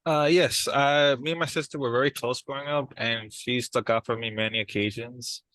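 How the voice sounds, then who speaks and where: A man's voice: medium pitch, fairly even intonation, moderate pace, fairly bright tone, lightly acted. An actor playing an earnest younger brother in a therapy session, groping for words as he speaks. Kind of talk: improvised dramatized therapy session.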